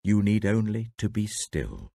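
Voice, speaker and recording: A man voicing a firm, reassuring prophet and leader in a scripture reading, proclaiming steadfastly in a strong, husky voice. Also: lowish voice, sing-song, measured pace, warm tone, no echo, clean studio sound, heavily acted.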